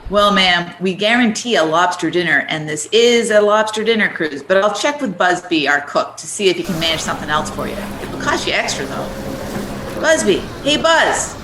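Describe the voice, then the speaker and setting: A woman playing a brisk, blunt, no-nonsense tour boat hostess in a radio play. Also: mid-range voice, animated, reverberant, background noise, lightly acted. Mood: brisk, businesslike.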